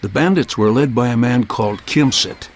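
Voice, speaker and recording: An actor playing a wise, steady centaur guide in a video game, earnest and measured in his delivery. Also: mid-range voice, a little hiss or hum, lightly acted. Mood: grave. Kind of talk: scripted video game cutscene acting.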